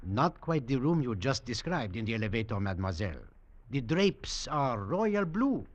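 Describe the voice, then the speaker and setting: An actor playing a suave, condescending French hotel manager with a French accent in an old radio drama. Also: male voice, medium pitch, sing-song, normal speed. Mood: smug. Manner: oily, smugly polite. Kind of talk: 1940s-50s radio drama acting. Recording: muffled old radio recording.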